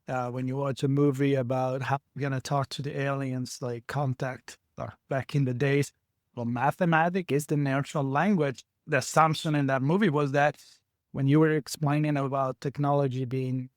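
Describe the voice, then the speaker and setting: A man hosting a podcast, enthusiastic and warm in his praise as he wraps up the conversation. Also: medium pitch, no room sound, studio quality. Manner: enthusiastic, wrapping up with thanks. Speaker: podcast host.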